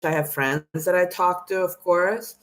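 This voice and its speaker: A woman's voice: lowish voice, a little slow. A young woman from Mexico whose first language is Spanish, casually introducing herself to the camera, rambling with lots of "like" and "um". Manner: rambling, lots of "like" and "um", self-conscious. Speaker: vlogger. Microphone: camera mic, talking to camera.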